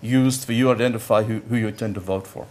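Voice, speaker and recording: A man's voice: fairly low pitch, steady tone, quick pace, darkish tone, slight background noise. An older man, a professor whose first language is Yiddish, giving a conference lecture in a conversational, blunt way, often stopping to search for words and repeating himself.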